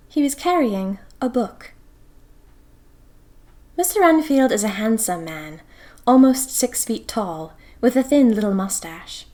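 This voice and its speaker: Woman acting a spirited young 1920s diarist. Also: a little high. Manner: expressive, wistful, theatrical. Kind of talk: dramatic first-person diary narration.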